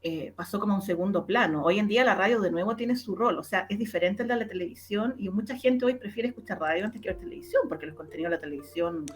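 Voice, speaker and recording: A woman's voice: medium pitch, fast, few pauses. A woman with a Chilean Spanish accent recounting her memories as an interview guest in casual talk, repeating words for emphasis. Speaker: interview guest, radio drama producer. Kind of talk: casual conversational recollection.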